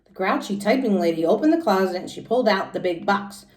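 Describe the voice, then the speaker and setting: A woman's voice: medium pitch, animated, quick pace, a little mellow. A woman teacher reading a children's book aloud and commenting on it for young listeners. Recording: echoey room, distant from mic.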